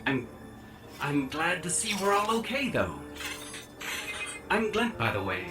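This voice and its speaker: An actor playing a friendly, nervous little robot companion in a video game cutscene. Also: male voice, on the high side, huge pitch swings, a little slow, recorded from a distance, over music.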